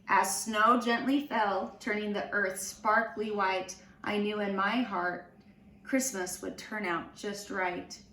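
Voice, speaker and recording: Woman reading a kids picture book, slow and animated, echoey room w faint hiss. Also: mid-range voice. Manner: slow, animated storytelling with acted voices. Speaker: storybook reader. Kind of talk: expressive children's read-aloud. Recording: roomy, distant mic with faint noise.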